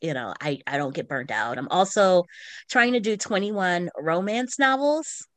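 Female podcast co-host, lower-leaning voice, unhurried delivery, informal and giggly. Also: lively intonation, fairly bright tone, no echo. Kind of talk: casual chat about books and shows.

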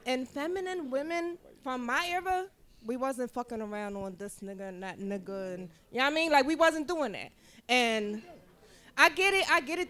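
A woman's voice: sits high, fairly bright tone. A middle-aged woman from Philadelphia with a Philly accent, giving blunt, profane advice as co-host of a live podcast. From Philadelphia, USA. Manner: blunt, profane, laughing, preachy. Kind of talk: live podcast talk before an audience.

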